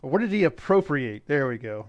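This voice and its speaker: Higher-voiced man, jokey podcast co-host. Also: sing-song, brisk, highs cut off. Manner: jokey mock-formal announcing, swears casually. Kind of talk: casual comedic review chat.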